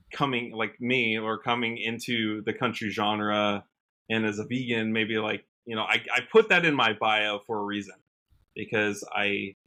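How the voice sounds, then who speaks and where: American guy on a podcast, bright voice, kinda halting n earnest, keeps rewording stuff. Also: lowish voice, rough recording. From Washington state, USA. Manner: halting, earnest, trails off and rephrases often. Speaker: podcast guest, country singer. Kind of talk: casual interview chat.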